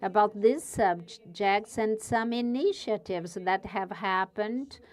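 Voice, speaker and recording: Woman, European Portuguese accent, researcher at a conference podium, smooth voice, unhurried delivery. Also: medium pitch, a little mellow. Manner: careful, searching for words. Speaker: guest speaker, university researcher. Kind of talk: conference presentation.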